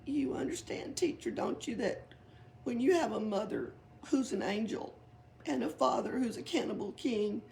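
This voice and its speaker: A woman's voice: high voice, creaky, darkish tone, voice pushed up. A woman reading a storybook, voicing a boisterous, cheeky young girl who tells tall tales in dramatic exclamations. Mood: earnest, wistful. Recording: background noise, library read-aloud.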